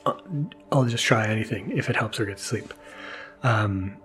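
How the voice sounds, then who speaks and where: A man speaking as a podcast host in a casual, intimate monologue, hesitant and soft-spoken. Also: fairly low pitch, normal speed. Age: adult, new father. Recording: mellow music underneath. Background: soft mellow music.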